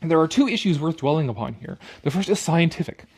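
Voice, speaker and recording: A man's voice: a little high, lively intonation, brisk, a little mellow, slight background noise. A man reading an article aloud with casual, sarcastic commentary, blunt and dismissive, prone to swearing. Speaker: online commentator.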